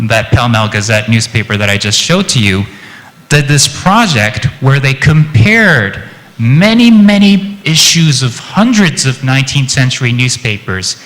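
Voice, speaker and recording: Bright-voiced Japanese man presenting. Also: medium pitch, long reverb tail, low-quality recording. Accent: American-influenced English. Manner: lively, chatty, jokes with the audience. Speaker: conference presenter. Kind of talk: conference presentation. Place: conference hall.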